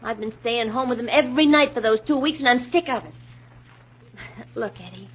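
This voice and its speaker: An American actress playing a restless, discontented young wife in an old-time radio crime drama, speaking in a plaintive, slangy, insistent way. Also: female voice, a little high, moderate pace, fairly bright tone, breathy edge. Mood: fed up, wheedling. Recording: muffled, hissy old radio recording.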